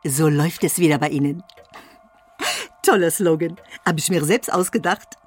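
Actress doing smug female couples coach, bit low n husky, presenting, audio comedy. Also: animated, moderate pace, soft background music. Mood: self-satisfied, brisk. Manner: self-pleased, presenting. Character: chirpy, self-satisfied female couples-course leader sprinkling French.

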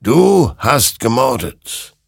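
Ancient death rider for a dark audiobook, played by a male narrator: cold, solemn, very drawn-out delivery, husky. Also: medium pitch, sing-song, no echo, clean studio sound, voice pushed up, full character voice. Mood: hissing accusation. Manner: slow, solemn pronouncements. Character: ancient all-knowing spectral rider of burning souls, cold and menacing.

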